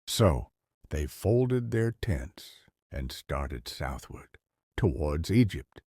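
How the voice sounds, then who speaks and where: A man narrating a scripted story solemnly as narrator, with a husky voice. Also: a bass voice, very animated, extremely slow, darkish tone, dry recording, clean studio sound.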